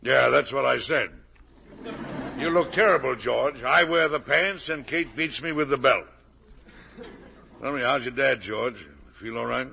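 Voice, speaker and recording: A man's voice: medium pitch, a little slow, vocal fry, long pauses, pushed and bright, slight background noise. An actor with an American accent playing a genial, blustering older businessman and father in a 1950 radio drama. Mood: jovial.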